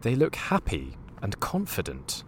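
An actor narrating an audio comedy drama as a wry, deliberate, theatrical storyteller. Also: male voice, on the low side, wildly swooping pitch, slight background noise. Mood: wry narration.

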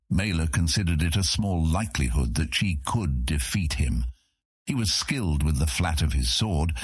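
A man's voice: rumbling low, husky, dry recording. A man narrating a scripted fantasy audiobook as its storyteller.

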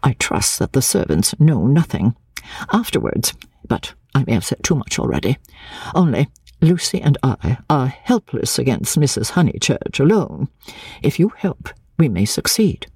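A woman's voice: rumbling low, big pitch swings, moderate pace, mellow, husky, no room sound, exaggerated voice. A woman reading a storybook as a prim, fretful spinster cousin, martyred, secretive and intense. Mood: urgent, conspiratorial. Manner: evasive, intense, self-pitying, sometimes dropping to an urgent whisper.

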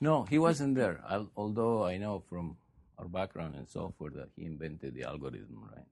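Deep gravelly Peruvian-born man. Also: normal speed. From Peru. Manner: relaxed, joking. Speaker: guest. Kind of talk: casual chat.

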